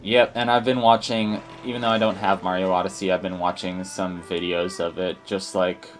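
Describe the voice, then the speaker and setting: A young man hosting a gaming channel, talking in a low, croaky morning voice and rambling casually over his gameplay with lots of fillers and restarts. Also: flat delivery, moderate pace, soft background music. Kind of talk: casual let's play commentary.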